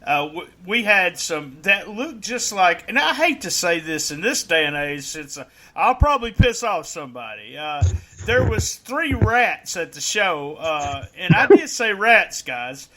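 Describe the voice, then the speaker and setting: Mężczyzna w średnim wieku, prowadzący podcast, jasny, dość wysoki głos, gada chaotycznie z żartami. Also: male voice, sits high, big pitch swings, a little slow, pushed and bright. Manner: rambling, lots of uh fillers, joking. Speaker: podcast host. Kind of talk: casual interview chat.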